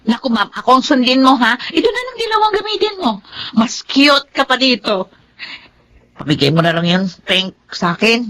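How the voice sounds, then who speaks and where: A woman's voice: fairly high pitch, very animated, normal speed, fairly bright tone. A woman playing a sly, wheedling housemaid in a Filipino radio drama, with a Filipino accent. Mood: coaxing, bossy. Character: sly, wheedling housemaid who manipulates her blind mistress.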